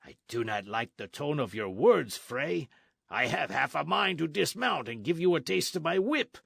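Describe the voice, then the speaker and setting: A man voicing a gruff, blustering army sergeant who makes loud demands. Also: a little high, big pitch swings, normal speed, no echo, clean studio sound, pitched above the performer's own voice, full character voice.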